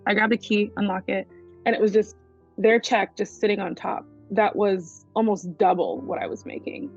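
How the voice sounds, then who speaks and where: Relaxed woman visual artist. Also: medium pitch, moderate pace, lo-fi sound, faint music behind. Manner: relaxed, uses many fillers like "like". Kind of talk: casual interview talk.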